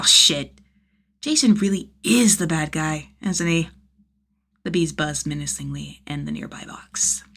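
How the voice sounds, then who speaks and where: A woman's voice: lowish voice, a bit bright. A woman narrating a piece of fiction as an audiobook, reading from a script with expression.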